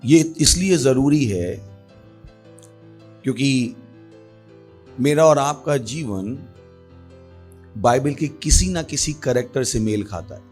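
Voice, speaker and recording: Male pastor, Indian accent, mid-pitched voice, emphatic sermon delivery with repeated refrains, music underneath. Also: slow pace, pauses often, darkish tone. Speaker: pastor preaching to a congregation. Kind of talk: sermon with prayer and Bible teaching.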